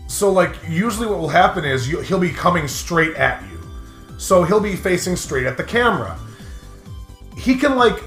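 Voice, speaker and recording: A man's voice: high voice, lively intonation, moderate pace, a bit bright. A man co-hosting a game show in casual, profane chat, explaining things at length in a rambling way. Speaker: co-host of a gaming playthrough show. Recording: roomy echo with music behind.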